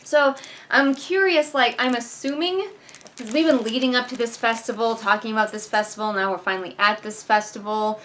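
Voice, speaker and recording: A woman's voice: fairly high pitch, fairly bright tone. Exasperated woman giving casual book commentary. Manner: exasperated, sarcastic critique. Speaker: book reviewer and video host. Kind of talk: casual read-along commentary.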